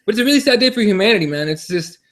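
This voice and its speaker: Male podcast co-host, higher-pitched voice, casual sports chat, unpolished and repetitive delivery with swearing. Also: animated, rapid-fire, fairly bright tone.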